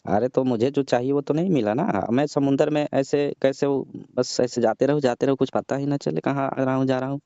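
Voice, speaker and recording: A man on a phone call who sounds grumbling and argumentative, with a mid-pitched, dark voice. The line is muffled and dry, like a recorded phone call. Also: steady tone, brisk, vocal fry, few pauses, smooth, no room sound. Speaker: caller. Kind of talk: scripted comedy phone call.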